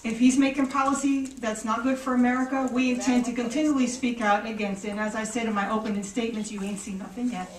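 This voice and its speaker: A woman's voice: fairly high pitch, steady tone, fast, hardly any pauses. A woman giving an emphatic statement as a panelist at a press conference. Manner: emphatic, declarative. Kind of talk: public statement. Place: press club conference room. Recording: echoey room, distant mic, faint noise.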